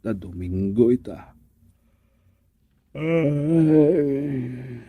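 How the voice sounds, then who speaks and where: An actor playing a drowsy, easygoing village man in an Ilocano radio comedy, with drawn-out sighing interjections. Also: mid-range voice, lively intonation, husky, no echo, narrow, tinny audio, exaggerated voice. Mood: drowsy, musing. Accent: Filipino, Ilocano.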